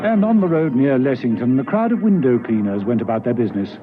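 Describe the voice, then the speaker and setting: Animated male radio narrator, tinny sound. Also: medium pitch, fast talker, music in the background. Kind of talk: dramatic scripted spy radio narration. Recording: tinny, noisy old radio broadcast.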